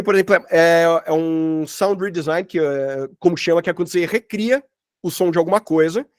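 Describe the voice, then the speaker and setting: High-voiced Brazilian man, casual workshop talk. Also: darkish tone, no room sound, studio quality. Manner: casual, rambling, slangy. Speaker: sound designer teaching a workshop. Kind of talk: informal online workshop talk, explaining work examples. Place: at home, online session.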